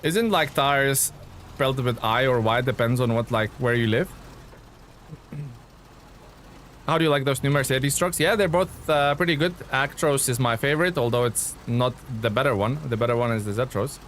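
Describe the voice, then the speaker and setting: A man chats easily with his live-stream viewers, his voice sitting at an ordinary middle pitch. He rambles a little, stopping and restarting with plenty of "uh"s. A faint hiss sits under the recording. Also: moderate pace. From Lithuania. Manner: relaxed, chatty, many fillers and restarts. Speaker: game streamer. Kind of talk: casual live-stream chat reading viewer comments.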